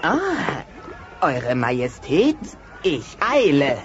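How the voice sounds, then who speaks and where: An actor playing a sulky, sarcastic dinosaur prince, the older brother, in a German audio play. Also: male voice, mid-range voice, very animated, takes it slowly. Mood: mock-servile. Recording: dull, noisy cassette transfer.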